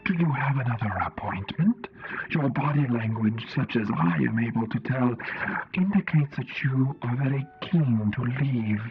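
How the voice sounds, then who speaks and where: A man voicing a ponderous, formal tentacled alien diplomat with a stilted, deliberate delivery through a radio-like filter. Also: mid-range voice, expressive, muffled recording, soft background music. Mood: probing. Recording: band-limited radio-like sound.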